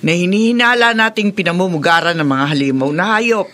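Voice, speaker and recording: An actor playing a worried parent, anxious and pleading, in a horror radio drama. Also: male voice, sits high, a little fast, pushed and bright. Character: worried parent of a grown monster hunter.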